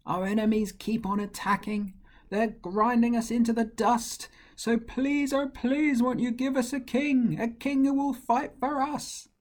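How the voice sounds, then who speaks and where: A man voicing a desperate crowd of ancient people, begging in a high singsong voice. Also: level tone, unhurried, darkish tone. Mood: pleading. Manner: pleading, singsong.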